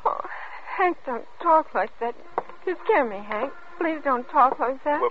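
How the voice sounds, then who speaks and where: Anguished young wife, pleading and intense, vintage radio melodrama. Muffled sound under heavy noise. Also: female voice, high-pitched, lively intonation, a little slow. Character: anguished young pregnant wife of an unstable husband. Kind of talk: old-time radio drama acting.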